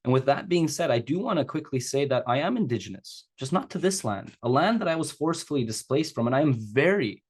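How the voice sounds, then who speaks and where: A man's voice: medium pitch, big pitch swings, a little fast, few pauses, husky. Earnest man speaking over a video call. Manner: casual, earnest, conversational with a few laughs. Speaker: secondary teacher and speaker. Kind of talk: personal talk to an audience. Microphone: remote video call.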